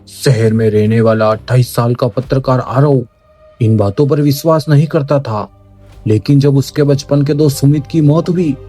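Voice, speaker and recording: A man's voice: mid-range voice, mellow, husky. A man giving dramatic, scripted horror narration in an ominous, suspenseful manner, with music behind.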